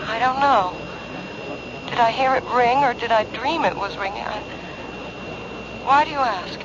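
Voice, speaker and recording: An actress playing an uneasy, uncertain young woman just woken, speaking haltingly in a radio drama. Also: a little high, natural voice. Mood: uneasy, confused. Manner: hesitant, faltering. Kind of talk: old-time radio drama acting. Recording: noisy, muffled vintage radio broadcast.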